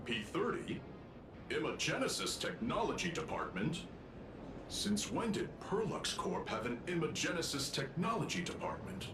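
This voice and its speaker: A man's voice: a little high, huge pitch swings, moderate pace, roomy sound, noisy background. A man voicing a courteous, composed corporate escort for important guests in a video game. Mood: puzzled.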